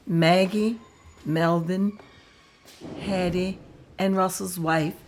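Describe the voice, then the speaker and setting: A woman narrating a table read in a plain, measured way. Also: fairly low pitch, a little hiss or hum.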